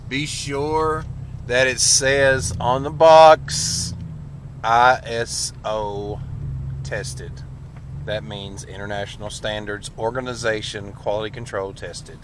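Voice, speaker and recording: A man talking casually to camera as a folksy hunting vlog host, in a drawn-out way. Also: mid-range voice, steady tone. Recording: noisy outdoor and vehicle background.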